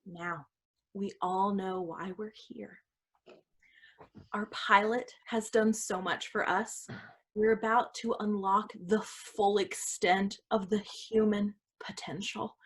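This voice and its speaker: A woman in a staged play reading playing a composed, controlling ceremony leader, husky, measured and ceremonious. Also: medium pitch, steady tone. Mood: reverent, measured.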